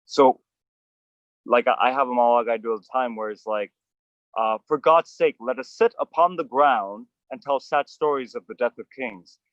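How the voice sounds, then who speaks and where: A man hosting an outdoor acting coaching session, animated and emphatic, with frequent restarts. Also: medium pitch, normal speed, dry recording, muffled recording.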